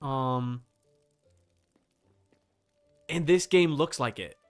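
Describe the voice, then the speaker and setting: A man's voice: medium pitch, very slow, clean tone, soft background music. A man giving casual livestream commentary on a game, thinking aloud in slangy language with some swearing. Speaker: livestream host.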